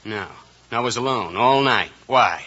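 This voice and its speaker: Bitter american outlaw cowboy, raspy n curt, muffled old radio show. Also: male voice, medium pitch, unhurried, bright, forceful tone. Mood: defensive. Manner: curt, defiant. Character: defensive, resentful young ex-convict cowboy. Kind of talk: 1950s Western radio acting.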